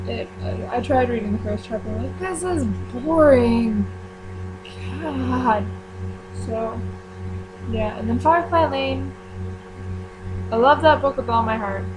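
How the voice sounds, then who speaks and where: A woman chatting casually to her viewers as she runs a book club, rambling with frequent ums and quick self-corrections. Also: a little high, over music, low-quality recording. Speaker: YouTuber running a book club. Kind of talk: casual chat to camera.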